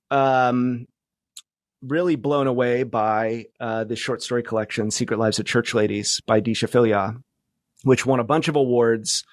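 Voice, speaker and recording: A man's voice: medium pitch, no echo, studio quality. A man speaking as a podcast guest in casual interview talk, explaining things in a loose, hesitant way.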